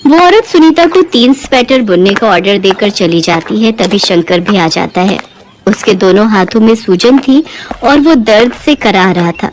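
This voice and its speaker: A woman's voice: medium pitch, lively intonation, moderate pace, a little hiss or hum, low-quality recording. A woman narrating a scripted Hindi moral story as a storyteller.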